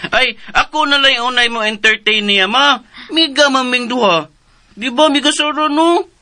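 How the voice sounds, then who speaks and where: A man's voice: very high voice, moderate pace, bright, forceful tone, exaggerated voice. A man playing an eager, simple-minded, childlike young man in a radio drama, repetitive and pleading in his talk. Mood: playful, proud.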